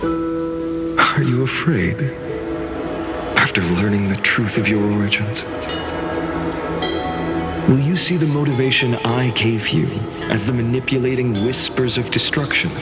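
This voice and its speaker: An actor playing a calm, earnest mentor and companion, speaking in a measured, dramatic video game style. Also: male voice, mid-range voice, natural voice. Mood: gentle, probing. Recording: loud music under the voice. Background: loud music.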